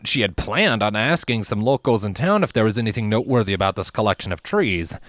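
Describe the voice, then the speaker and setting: Expressive, lowish-voiced male storyteller. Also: no room sound. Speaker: fan fiction narrator. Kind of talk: scripted storybook narration.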